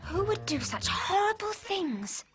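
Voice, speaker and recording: Naive sing-song princess, voiced by a woman, high and slow, music behind. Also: big pitch swings, exaggerated voice. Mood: sweet, naive. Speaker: witness. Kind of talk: cartoon voice acting.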